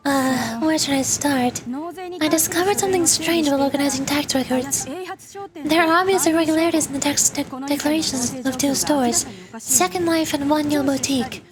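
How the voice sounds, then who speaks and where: A woman's voice: sits high, normal speed, lightly acted. A woman reading the part of a troubled young clerk who speaks hesitantly and stumbles over her words. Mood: worried. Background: game music.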